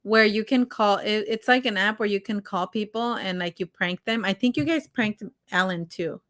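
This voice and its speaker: A woman's voice: mid-range voice, normal speed, fairly bright tone. A woman co-hosting a live stream in casual conversation, chatty and informal, with exclamations and slangy asides. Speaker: podcast co-host.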